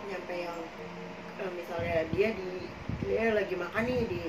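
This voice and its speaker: A young woman chatting casually, hoarse and coughing, rambling with many fillers as she tells her own experiences. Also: on the low side, fairly even intonation, unhurried. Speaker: podcast co-host telling her own experiences. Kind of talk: casual chat in Jakarta slang. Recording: echoey room, far from the mic, noisy.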